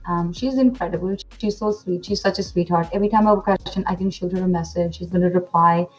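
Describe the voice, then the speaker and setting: A woman's voice: lowish voice, fairly even intonation, fast talker, lo-fi sound. A woman in her twenties who grew up in India, talking in a chatty, enthusiastic way with many fillers and restarts as an interview guest. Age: 20s. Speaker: pageant titleholder guest. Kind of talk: casual interview.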